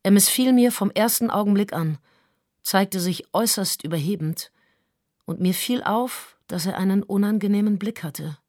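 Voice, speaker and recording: A woman's voice: mid-range voice, moderate pace, darkish tone, no echo, clean studio sound, natural voice. A woman playing a stern, disapproving mother giving formal, composed testimony in a radio play. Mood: cool disapproval.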